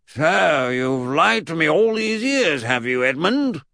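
A man's voice: fairly high pitch, expressive, moderate pace, creaky, a bit bright, no room sound, studio quality, voice pushed up, exaggerated voice. A man voicing an eccentric, blustering old great-uncle in a comic children's audiobook, pompous and emphatic. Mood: stern, indignant. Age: older.

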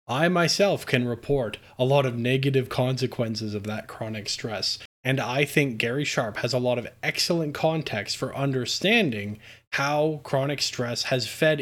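Male news commentator, earnest and emphatic delivery, mid-pitched voice. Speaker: host commentator.

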